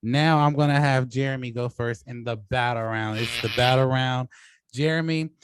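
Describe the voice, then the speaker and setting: A man's voice: medium pitch, fairly even intonation, moderate pace, noisy background. A man moderating a debate show in a casual, affable way, often chuckling as he talks. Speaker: debate show host and judge.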